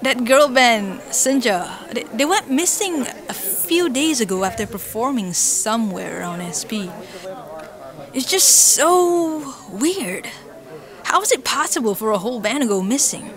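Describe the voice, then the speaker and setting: A young woman voicing a curious, chatty young musician in an animated comic series, with loud music playing behind her. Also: a little high, unhurried. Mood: worried. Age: young adult. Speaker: singer-songwriter lead character.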